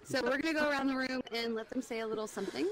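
A woman's voice: sits high, very fast, background noise. A woman hosting a game stream on a VR headset, upbeat and welcoming. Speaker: host.

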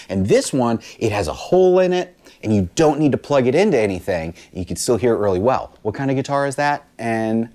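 Lively low-voiced man teaching kids music. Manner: animated, sing-song imitations of instrument sounds. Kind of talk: children's read-aloud and music lesson. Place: small studio room with bookshelves. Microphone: lapel mic.

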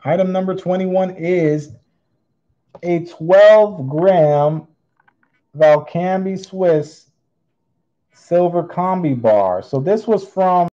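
A man hosting a live online auction, calling bids with theatrical, drawn-out singsong phrases. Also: high-pitched, mellow, lo-fi sound. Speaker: online auctioneer, channel host. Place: indoors at a tabletop display.

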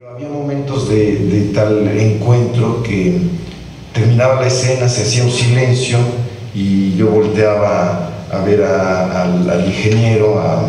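A middle-aged man reflects as an actor on his work. The voice is low and mellow, heard from off in a very echoey space. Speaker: lead actor. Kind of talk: reflective unscripted testimonial. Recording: very echoey, distant mic, faint noise.

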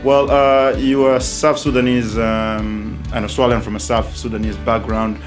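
A middle-aged man hosting a studio interview, with hesitant, halting phrasing. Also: medium pitch, flat delivery, over music. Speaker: interview host. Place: small studio, seated at a desk.

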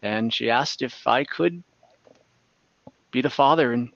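A man acts out a rueful older male elf in a tabletop roleplaying game. He talks slowly and haltingly, earnest as he confesses his past. The sound is close and dry, but a little dull, like an online call. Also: medium pitch, creaky, fairly bright tone, no echo. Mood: rueful, hesitant. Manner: hesitant, halting, earnest storytelling. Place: indoors on a group video call.

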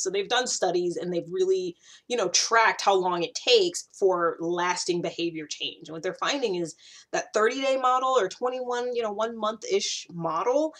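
An American woman in her thirties talking casually to camera, conversational and emphatic, repeating points for stress. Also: on the low side. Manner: conversational, animated gesturing, emphatic repetition. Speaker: YouTube host sharing self-growth advice. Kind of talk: casual direct-to-camera talk.

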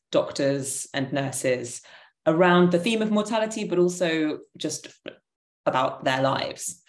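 Female guest, thirties, deep for a woman, informal hesitant explainer, roomy video-call sound. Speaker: panel guest, theatre maker. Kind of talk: conversational explanation of own work. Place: room at home. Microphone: computer mic on a video call. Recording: echoey room on video call.

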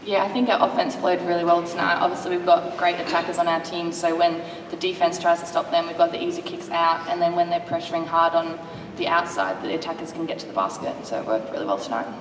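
Fast-talking young woman athlete. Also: fairly low pitch, fairly even intonation. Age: young adult. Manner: quick, upbeat, leans on "you know, obviously". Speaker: college basketball player. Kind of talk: press conference answers. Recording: echoey hall, distant mic, room noise.

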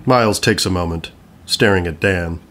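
A man's voice: sits low, normal speed, a bit bright, slight background noise. A man narrating scripted stage directions for an audio play.

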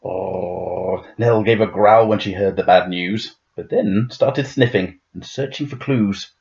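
A man reading a children's rhyming picture book aloud in a dramatic, playful, expressive way. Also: sits low, a little slow, dull audio. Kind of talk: expressive rhyming storybook narration.

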